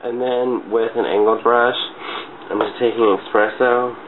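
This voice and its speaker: A man's voice: mid-range voice, steady tone, unhurried. A man with a congested, stuffy voice from a cold, chatting casually and a little loosely through a makeup tutorial. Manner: informal, giggly, loosely rambling. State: has a cold. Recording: muffled phone-like webcam-style audio, clear noise.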